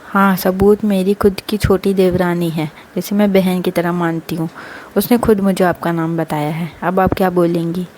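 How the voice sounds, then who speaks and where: An earnest elder daughter-in-law, read by a woman storyteller, talks in a plain, mellow voice. It is conversational family-tale dialogue with noise in the background. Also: fairly low pitch, flat delivery, normal speed, lightly acted. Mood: accusing.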